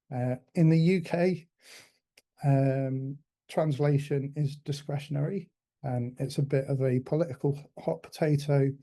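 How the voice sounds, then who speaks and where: Man presenting at a tech conference, dark voice. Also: medium pitch, creaky. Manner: hesitant, many ums and restarts. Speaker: conference speaker.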